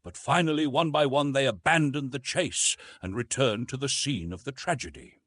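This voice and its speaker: A man narrating an adventure novel as an audiobook, with expressive, dramatic storytelling. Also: mid-range voice, moderate pace, no echo, studio quality.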